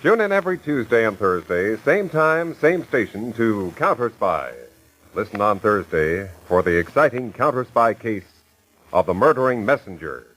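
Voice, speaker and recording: A man's voice: lowish voice, expressive, normal speed, a bit bright, low-quality recording. A man announcing and selling in polished 1940s radio style. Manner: polished salesman delivery.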